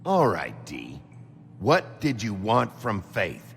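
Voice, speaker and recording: An actor playing a gruff, impatient detective in a video game, questioning bluntly and with menace. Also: male voice, on the low side, wildly swooping pitch, takes it slowly, fairly bright tone, full character voice. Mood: menacing. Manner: terse, blunt interrogating. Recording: long reverb, music underneath.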